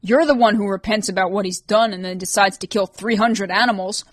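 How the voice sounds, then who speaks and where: Brief: bitter swearing male soul eater, read by a woman, pushed high and loud, dry booth sound. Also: brisk, no echo, lightly acted. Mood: bitter snarling. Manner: snarling, defensive, swearing.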